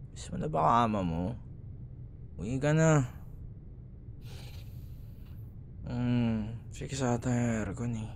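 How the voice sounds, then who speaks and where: A man's voice: mid-range voice, a little mellow, slight background noise, lightly acted. A man with a Filipino accent playing a grumbling, teasing young school rival who secretly cares, in a sarcastic, casual ASMR boyfriend roleplay. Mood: sleepy, irritated. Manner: sarcastic, casual bickering with sighs and murmured asides.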